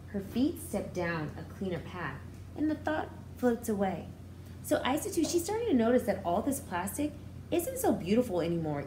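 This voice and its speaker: A woman teacher reading a storybook aloud to young children, expressively, pausing to explain it in simple, repeated words. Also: mid-range voice, background noise.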